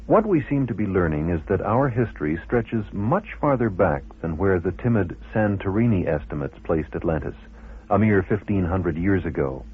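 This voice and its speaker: A man's voice: low voice, expressive, moderate pace, breathy edge. A man reading scripted documentary commentary on a muffled old radio recording.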